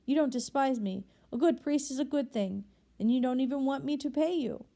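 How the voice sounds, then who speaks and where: A woman's voice: sits high, brisk, vocal fry, dark tone, voice pushed up. A woman reading aloud and voicing a desperate forty-six-year-old ex-convict man who stammers and pleads. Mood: stammering, astonished. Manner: stammering, pleading, tumbling words.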